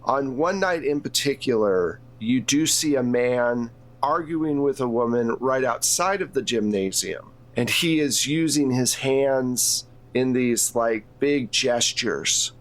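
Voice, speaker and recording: A man's voice: medium pitch, slow, hardly any pauses. A man acts as game master for a tabletop roleplaying game. His voice sits in a middle register. He explains and improvises scenes in an easy conversational style.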